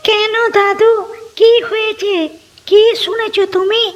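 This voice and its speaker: A woman's voice: very high-pitched, level tone, slow. A woman voicing a meek, hurt young granddaughter in a cartoon story, plaintive and earnest, in dull sound with music. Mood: hurt, pleading.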